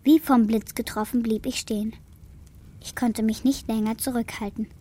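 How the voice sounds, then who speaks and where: A woman's voice: on the high side, steady tone, normal speed, mellow, a little hiss or hum, natural voice. An actress playing a brave, devoted young girl in a radio play, with an earnest, youthful delivery. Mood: reading aloud.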